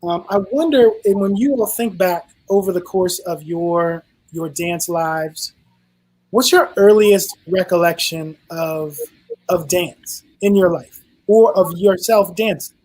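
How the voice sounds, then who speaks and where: A man moderating a dance panel over a video call, speaking in a measured way with small restarts. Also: high voice, a little mellow, low-quality recording. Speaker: panel host.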